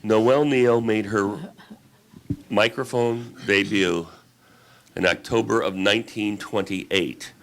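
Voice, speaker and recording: A man conducting a panel interview in a deliberate, formal, halting way. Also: lowish voice, steady tone, fairly bright tone. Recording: noisy live recording with clicks.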